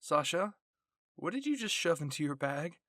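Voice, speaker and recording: A man's voice: a little high, very animated, moderate pace, fairly bright tone, no echo, clean studio sound, voice pushed up. A man voicing earnest, worried young soldiers chiding their friends in an anime story, sounding fretful. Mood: concerned, chiding. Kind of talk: character voices in a fan fiction reading.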